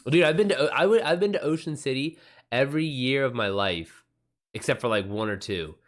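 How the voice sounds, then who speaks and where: A man's voice: medium pitch, lively intonation, a little fast. An American man hosting a livestream, talking casually with viewers in an informal, slangy way and swearing freely. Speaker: livestream host.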